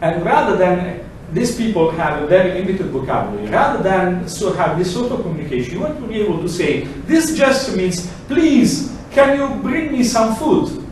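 A middle-aged man with a heavy Italian accent, speaking a little higher than most men, lectures with animated energy. He is caught by a distant camera, so his voice rings around a big, reverberant room with a faint hum beneath it. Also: normal speed, few pauses. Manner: animated, gesturing, acts out examples in quoted voices. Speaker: lecturer presenting a project. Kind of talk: lively technical presentation. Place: large lecture room, indoors. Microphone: far camera, no mic. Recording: echoey room from far off.

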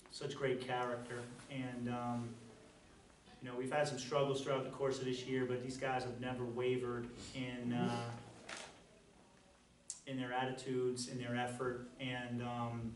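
A middle-aged man, a basketball coach, answering press questions in a halting way with many fillers and restarts. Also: medium pitch. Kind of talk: post-game press conference answers. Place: press conference room. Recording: roomy, distant, faint noise.

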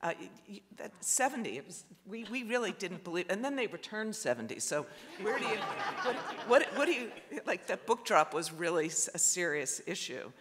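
A man's voice: high-pitched, big pitch swings, moderate pace, few pauses, a bit bright. A man, an architect, speaking on a panel in an auditorium, hesitant with many fillers and restarts. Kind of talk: panel talk presenting slides. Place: large auditorium. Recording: long hall reverb.

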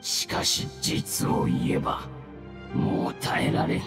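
Guy doing dying old fungus spirit in a game, breathy and weak, drags his words, music playing behind. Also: echoey room, natural voice. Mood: weary, resigned. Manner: slow, archaic elderly speech, halting. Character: dying ancient mushroom spirit, weary, devoted old male. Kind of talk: dramatic game dialogue acting.

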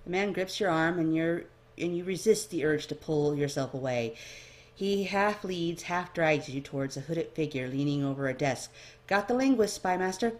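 A woman's voice: deep voice, moderate pace. A woman reading second-person fiction aloud as a narrator. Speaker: amateur fan fiction reader.

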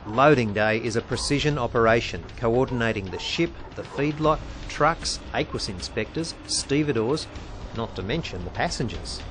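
A man reading scripted documentary narration in an even, measured way. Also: mid-range voice, noisy background. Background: music.

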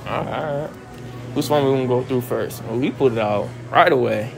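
A man's voice: medium pitch, brisk, gravelly, music in the background. A young man hosting casual street interviews outdoors, joking and teasing in slangy talk.

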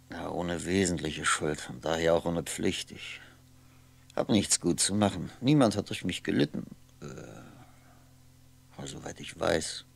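A lonely man lost in his memories, thinking aloud in a 1960s radio drama. His voice is low and gravelly, with diction. He speaks in halting, introspective phrases, often correcting himself. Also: full character voice. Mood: resigned, bitter. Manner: halting, introspective, self-correcting, deliberate articulation. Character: lonely, brooding man musing aloud over faded memories. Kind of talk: 1960s German radio play monologue.

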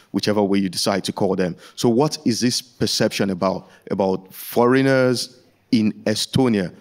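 A man's voice: fairly low pitch, a little mellow. Earnest young man asking from the floor. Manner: earnest, explaining at length before asking. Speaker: audience member asking a question. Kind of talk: spoken question from the floor. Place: large conference hall, seated in audience. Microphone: handheld microphone.

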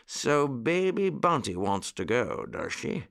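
A man voicing a kindly, fussy old gentleman rabbit in a children's story, with a genteel, exclamatory manner. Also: medium pitch, very animated, slow, voice pushed up, full character voice. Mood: relenting.

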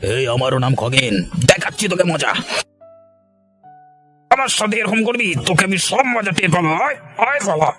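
A man's voice: high voice, sing-song, normal speed, pauses often, bright, forceful tone, husky, faint music behind, exaggerated voice. A man voicing a wicked, melodramatic village murderer in a cartoon. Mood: leering, threatening. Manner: taunting, stammering under pressure. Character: wicked, leering village murderer, cowering once caught.

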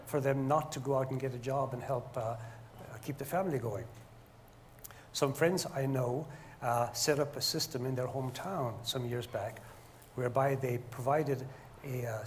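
Older man, deliberate and often pausing. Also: mid-range voice. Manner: deliberate, hesitant with frequent pauses. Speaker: panelist, engineer. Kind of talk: measured panel remarks. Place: stage of a lecture hall, indoors. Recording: faint noise in a hall.

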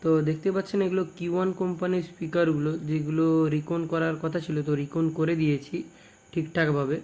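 A young man with a West Bengal Bengali accent, casually presenting repair work in a halting, repetitive way, phrase by phrase. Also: fairly high pitch, flat delivery, a little mellow. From West Bengal, India. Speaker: speaker repair technician and video presenter. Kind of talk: casual product showcase narration.

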